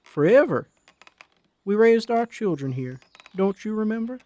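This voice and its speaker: Man playing a raspy, protective old husband. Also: sits high, big pitch swings, a little slow, mellow, no echo, pitched above the performer's own voice. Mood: gentle, reassuring. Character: steady, gruff, protective elderly husband with secret.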